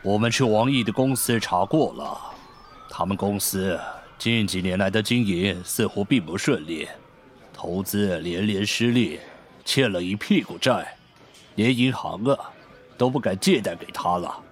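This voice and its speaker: A man's voice: lowish voice, unhurried, slight background noise, lightly acted. A man playing a shrewd, composed senior police captain in a radio drama. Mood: composed, businesslike.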